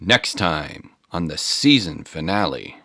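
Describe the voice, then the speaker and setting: Animated male storyteller over music. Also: deep voice, unhurried. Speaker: narrator. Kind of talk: dramatic scripted story narration.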